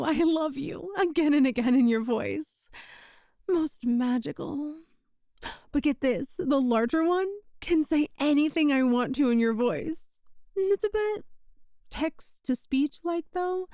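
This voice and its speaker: A woman's voice: high voice, dark tone, dry recording, studio quality, heavily acted. A woman playing a sweetly possessive, clingy yandere witch in an ASMR roleplay, with a cutesy, coy, breezily sinister manner. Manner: cutesy, coy, breezily matter-of-fact about sinister things. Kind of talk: ASMR roleplay acting addressed to the listener.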